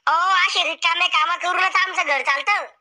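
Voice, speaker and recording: A woman's voice: extremely high pitch, moderate pace, bright, forceful tone, exaggerated voice. A woman voicing a cheeky, idle young grandson in a cartoon, bantering in a rustic way. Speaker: game show contestant. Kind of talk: cartoon comedy acting.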